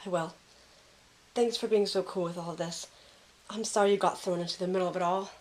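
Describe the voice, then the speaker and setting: A woman's voice: lowish voice, steady tone, a little fast, darkish tone, natural voice, rough recording. A woman acting a determined young woman. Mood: reassuring. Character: determined, reassuring young female team member. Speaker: voice actor in fan audio drama. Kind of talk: fan audio drama acting.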